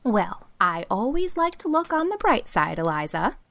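A woman's voice: mid-range voice, very animated, moderate pace, gravelly, a bit bright. A woman voicing a timid but cheerful older spinster, mild, earnest and hopeful, in an audiobook story. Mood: mild protest. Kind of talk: audiobook character dialogue.

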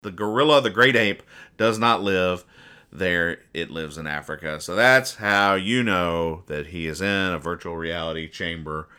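A man's voice: low-pitched, moderate pace, pushed and bright, clear, resonant tone. A man hosting a podcast, bantering casually about comic strips in a teasing, mock-exasperated way. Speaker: podcast host.

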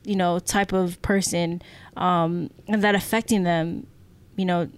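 A woman's voice: lowish voice, steady tone, measured pace, clear, resonant tone. A woman co-hosting a podcast, telling stories casually and reflectively, often checking in with the listener. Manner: reflective, often adds "you know" and "right?". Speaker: podcast co-host. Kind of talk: casual conversational storytelling.

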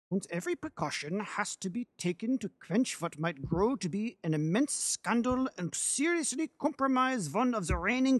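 A man's voice: sits high, dry recording, pitched above the performer's own voice, full character voice. A man reading a classic detective story, voicing a haughty disguised foreign king with a German accent, formal and grave. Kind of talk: audiobook character voice.

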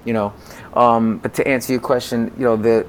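An American man in his forties speaking conversationally as an interview guest, in a halting way that often repeats words. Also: medium pitch, steady tone, a little hiss or hum. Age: 40s. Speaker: podcast guest, music consultant.

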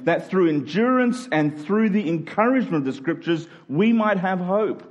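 An Australian man preaching a sermon as a church pastor, earnest and emphatic with deliberate phrasing. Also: on the high side, a little mellow.

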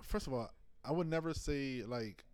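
A man's voice: mid-range voice, normal speed, darkish tone, smooth, no room sound. New guy on a panel, swears a lot, keeps restarting, dry close sound. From New York. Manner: loose, profane, restarts a lot. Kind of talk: casual panel chat.

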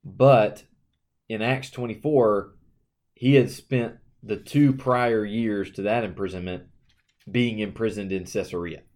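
A man's voice: on the low side, steady tone, measured pace, darkish tone. A man co-hosting a casual Bible study discussion, talking conversationally with frequent fillers and reading verses aloud. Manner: conversational, reads scripture aloud, uses fillers. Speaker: podcast co-host.

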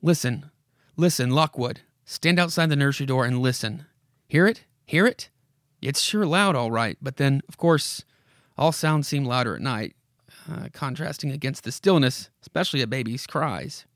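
A man's voice: medium pitch, lively intonation, moderate pace, a bit bright, no room sound. A man puts on the voice of a haunted old house from a horror comic. It speaks straight to its victim with dramatic urgency, insistent and commanding. The sound is dry, with hardly any room around it. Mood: urgent, commanding. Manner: dramatic, commanding second-person address with repeated imperatives. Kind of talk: horror comic read aloud.

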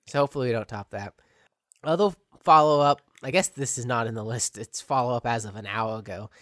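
A man's voice: medium pitch, brisk, fairly bright tone, dry recording, clean studio sound. A man co-hosting a casual podcast over a remote call, coming down with a cold and stuffed up, rambling and correcting himself as he jokes. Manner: rambling, self-correcting, joking. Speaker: podcast co-host.

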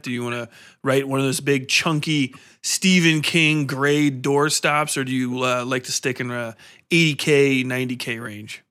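A man's voice: mid-range voice, sharp tone, smooth. A man hosting a podcast interview in a casual, informal and encouraging way, tending to ramble.

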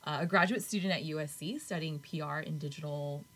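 Chatty young woman podcast cohost, low husky voice, says like a lot. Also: a little fast, a bit bright. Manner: chatty, laughs often, lots of "like". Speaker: podcast co-host. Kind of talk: casual chat.

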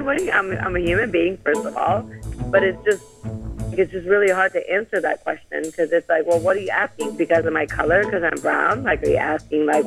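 A man's voice: fairly high pitch, creaky, fairly bright tone, rough recording. A man in his thirties who grew up in Sweden and learned Swedish first, telling his story casually and reflectively with many "like" fillers. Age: 30s. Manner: reflective, heavy use of "like" fillers. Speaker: interview guest.

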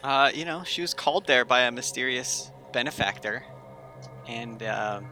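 A man's voice: medium pitch, normal speed, sharp tone, soft background music. A man playing in a tabletop game, telling his part in a casual, improvised way.

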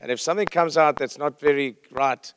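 South African pastor, clearly noisy recording. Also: male voice, on the high side, a little fast. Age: middle-aged. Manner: self-deprecating, conversational, humorous. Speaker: visiting pastor, guest speaker. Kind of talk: informal sermon to a congregation. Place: church service, speaking to congregation.

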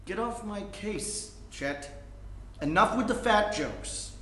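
A man acting out a fretful, fed-up younger brother in a live comedic play reading. His voice is high for a man, with terse, testy replies. It rings in a roomy space. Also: lively intonation, slow, a bit bright, exaggerated voice. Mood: wounded, irritated. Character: exasperated, anxious younger brother standing his ground. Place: indoor room before a live audience. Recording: roomy live stage recording.

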